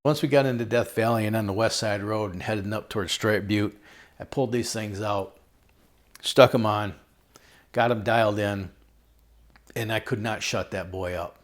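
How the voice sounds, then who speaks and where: Low-voiced middle-aged American man, folksy reviewer. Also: fairly even intonation, normal speed, long pauses. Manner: folksy, jokey slang, storytelling. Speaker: host of a product review video. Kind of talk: casual product review chat.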